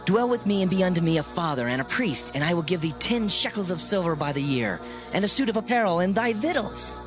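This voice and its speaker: A man's voice: high voice, big pitch swings, brisk, over music. A man playing an earnest householder of ancient times in a dramatized scripture recording, with formal, declaimed delivery. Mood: inviting.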